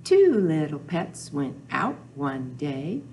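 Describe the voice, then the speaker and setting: A woman's voice: sits low, sing-song, takes it slowly, dark tone, a little hiss or hum. An older woman hosting a children's storytime, talking in a simple, repetitive, sing-song way to young children in a small room. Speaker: children's librarian, storytime host. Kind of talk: children's storytime with rhymes, counting and songs. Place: small indoor room with whiteboard.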